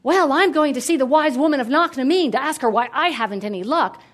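A woman's voice: on the high side, lively intonation, brisk. A woman voicing a cheerful, kindly young man on a journey, in a friendly, emphatic manner. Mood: friendly, curious. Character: cheerful, kindly, eager young male traveler.

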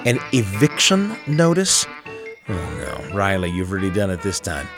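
A man's voice: sits low, huge pitch swings, normal speed, music in the background. A man hosting a program, giving scripted storytelling narration. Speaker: host.

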